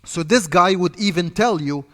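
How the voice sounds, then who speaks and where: A man's voice: sits high, moderate pace, a bit bright. Egyptian-accented man coaching in a reverberant hall. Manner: repetitive, emphatic coaching, talks off the cuff. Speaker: sales trainer. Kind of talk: instructional talk to trainees.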